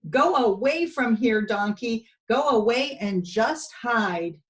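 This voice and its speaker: A tough, mean donkey owner from a storybook, played by a woman. The delivery is scolding and deliberate, fed up and dismissive, in a raised voice. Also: fairly high pitch, animated, far from the mic. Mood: angry, scolding. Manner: gruff, scolding.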